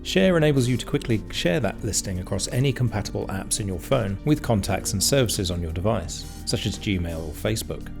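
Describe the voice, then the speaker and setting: A man with a lowish voice narrates a scripted how-to guide. His delivery is measured and explanatory, set out step by step for the learner. Music plays clearly behind him. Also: animated. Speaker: tutorial narrator. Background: background music.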